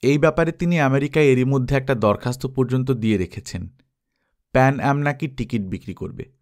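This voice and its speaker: Man narrating a Bengali short story. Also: mid-range voice. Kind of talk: expressive audiobook storytelling.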